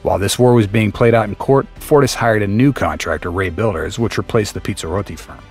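A man reading a scripted documentary narration in a steady, explanatory way over a close voice-over mic. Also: lowish voice, brisk. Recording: faint music bed behind the voice.

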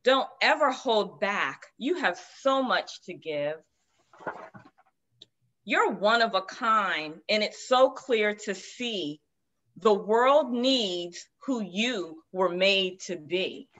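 A woman's voice: medium pitch, expressive, a little hiss or hum. A woman in her forties reading a picture book aloud to children as a guest storyteller on a video call. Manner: slow, gentle read-aloud. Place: room with shelves of children's books. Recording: dull lo-fi video call audio.

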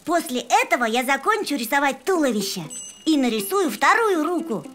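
A woman's voice: high-pitched, sing-song, normal speed, fairly bright tone, a little hiss or hum. A woman voicing a cheerful young boy bunny who teaches drawing in a children's cartoon, encouraging and instructive. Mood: cheerfully instructing. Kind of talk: children's educational cartoon dubbing. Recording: music behind the voice.

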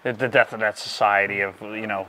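A man's voice: on the low side, fairly even intonation, fairly quick, very noisy. Middle-aged man, easygoing coach talk. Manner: conversational, trailing off into softer asides. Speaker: baseball program coach and co-host. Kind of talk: casual podcast chat. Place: cluttered indoor equipment room. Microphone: lapel mic.

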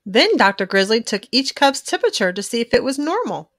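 A woman with a fairly high voice narrates a children's story. Her delivery is very melodic and animated, shaped for young listeners, in a dry, close recording. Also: fairly quick, dry recording. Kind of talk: expressive scripted read-aloud narration.

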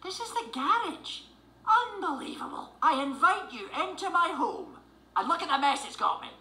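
A man playing a gruff, excitable old billionaire duck adventurer with a Scottish accent in a cartoon audio storybook. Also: very high voice, moderate pace, roomy sound, heavily acted, rough recording. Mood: exasperated. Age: older.

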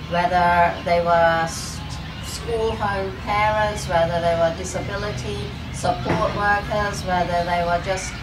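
A middle-aged woman gives a heartfelt, encouraging speech under an open park pavilion. Her voice sits a little low. It is picked up from a distance with a roomy echo, and music plays clearly behind her. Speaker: event organizer and host. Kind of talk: speech to a small outdoor gathering. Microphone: far camera, no mic.

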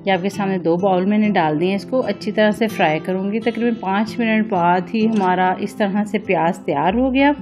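A woman's voice: medium pitch, a little mellow. A woman hosting a home cooking vlog, casually narrating a recipe step by step and politely instructing viewers.

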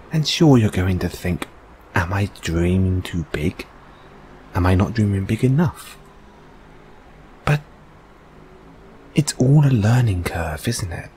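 Man playing a slow-talking, low-voiced gentle boyfriend. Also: a little hiss or hum, rough recording. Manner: soft, halting, reassuring, with tag questions. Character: gentle, encouraging, dreamy boyfriend comforting his partner. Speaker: audio roleplay performer. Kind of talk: intimate boyfriend comfort audio roleplay.

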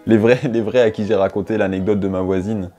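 A man's voice: on the low side, little pitch movement, a little fast, warm tone, faint music behind. A young man, talking slangy and rambling to camera about his year, recorded on a lapel mic. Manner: slangy, rambling, self-mocking. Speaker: YouTuber. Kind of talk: improvised casual monologue to camera. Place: dim bedroom studio, indoors.

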